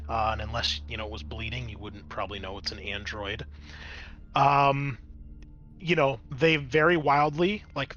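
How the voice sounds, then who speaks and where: A man's voice: mid-range voice, pushed and bright, highs cut off. A man acting as game master, explaining a tabletop roleplaying scene in a casual, conversational way.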